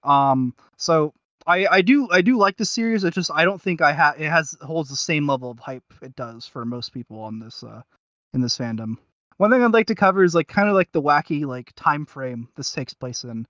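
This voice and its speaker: A man with a slightly high voice chats casually as a podcast host. He gropes for his point in a halting, self-deprecating ramble. The sound is very dry and a little dull. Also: animated, sharp tone, no room sound. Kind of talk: casual review chat.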